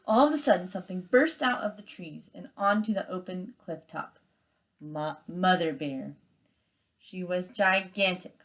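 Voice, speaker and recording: A woman with a fairly low voice speaks as a teacher at story time. Her intonation is very animated as she narrates expressively and gives simple instructions. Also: rough recording. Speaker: teacher reading aloud.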